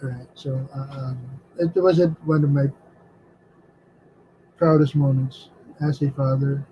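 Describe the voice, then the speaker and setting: A middle-aged man chatting casually at home, halting and hesitant, with many fillers and repeated words. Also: mid-range voice, fairly even intonation. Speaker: artist and vlogger. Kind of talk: casual reflective chat while drawing. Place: home studio room. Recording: slightly dull lo-fi sound with faint background noise.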